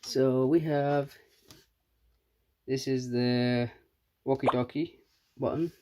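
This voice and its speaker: A man's voice: medium pitch, fairly even intonation, slow pace, mellow. A man giving a casual, unscripted product demonstration as a reviewer, hesitant and thinking aloud as he goes. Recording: clearly noisy background with clicks.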